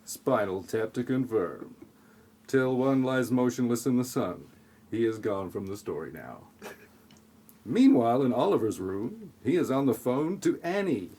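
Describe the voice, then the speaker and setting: A man narrates a scripted comic audio play in an ordinary mid-pitched voice. He keeps a deadpan delivery and drops sarcastic asides as he sets each scene. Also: measured pace, breathy edge.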